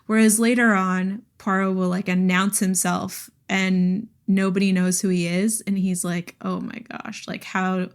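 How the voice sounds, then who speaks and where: A woman's voice: mid-range voice, flat delivery, measured pace, hardly any pauses, smooth. A woman co-hosting a podcast, talking casually and emphatically about books, with "like" sprinkled through her sentences. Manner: chatty, emphatic, peppers talk with "like". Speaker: podcast co-host. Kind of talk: casual book discussion.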